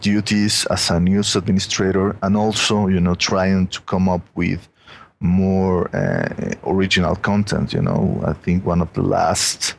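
Mexican-accented guy on a podcast, deep gravelly voice, slow and rambly. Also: fairly even intonation, slight background noise. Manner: rambling, lots of "you know". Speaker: guest. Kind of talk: casual podcast chat.

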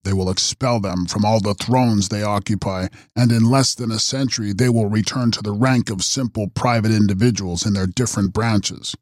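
A man voicing a mysterious, ageless male count who makes grave, measured prophecies in an audiobook. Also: on the low side, steady tone, no echo, studio quality, natural voice. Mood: prophetic. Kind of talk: audiobook character reading.

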